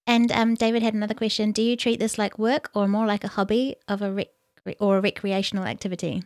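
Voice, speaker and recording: A woman hosting a casual interview, speaking in a calm, relaxing voice. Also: medium pitch, fast, no echo, studio quality. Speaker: interview host.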